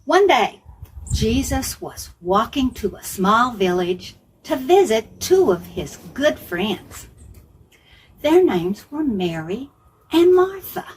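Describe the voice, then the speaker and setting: A woman tells stories to young children at a slow pace. Her fairly high voice rises and falls a great deal, with simple phrases and repeated words for emphasis. There is faint background noise. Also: very animated. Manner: simple phrases, repetition for emphasis, questions to listeners. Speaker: children's Bible storyteller.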